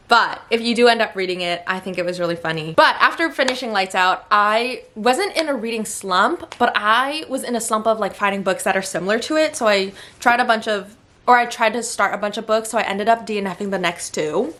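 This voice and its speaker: A woman's voice: medium pitch, a bit bright. A young adult woman whose first language is Vietnamese, chatting casually through a monthly book wrap-up with plenty of filler words. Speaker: book vlogger.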